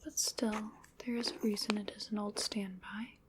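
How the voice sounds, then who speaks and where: A woman's voice: mid-range voice, fairly even intonation, a little hiss or hum, lightly acted. A woman playing a playful, polite, hungry vampire in a whispered ASMR roleplay, coaxing and musing as she dotes on the listener. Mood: musing, indulgent. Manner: slow, coaxing, musing, with pet names.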